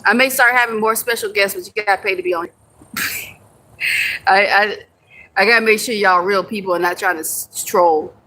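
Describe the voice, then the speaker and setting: Bright-voiced chatty young woman. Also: medium pitch, moderate pace, pauses often, rough recording. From United States. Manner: chatty, answers comments, laughs easily, some swearing. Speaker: livestream host. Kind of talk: casual live chat with viewers.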